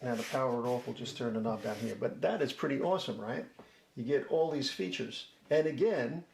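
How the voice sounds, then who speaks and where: A man's voice: medium pitch, fairly quick, gravelly, a little mellow. A man giving a casual, chatty product demo and review, folksy as he talks viewers through each step. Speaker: host of a DIY product review channel. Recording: roomy echo, faint background noise.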